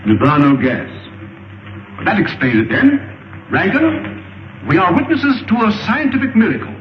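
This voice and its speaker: Learned future scientist-leader: formal, professorial, emphatic, animated intonation, roomy vintage film sound. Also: male voice, high voice, normal speed. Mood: amazed. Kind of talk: 1930s film serial acting. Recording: dull, hissy old film soundtrack, roomy.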